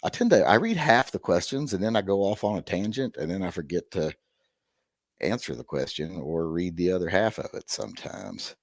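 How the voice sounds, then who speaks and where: Hoarse, gravelly deep-voiced man. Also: animated, normal speed, no room sound, clean studio sound. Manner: rambling, wry and self-deprecating. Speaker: host. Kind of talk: live-stream chat.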